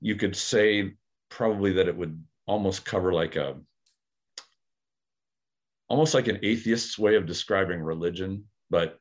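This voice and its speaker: Man, very low voice, casual podcast talk, thoughtful and halting; dull lo-fi recording. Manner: thoughtful, hesitant, many fillers and restarts. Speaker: aikido practitioner, podcast co-host.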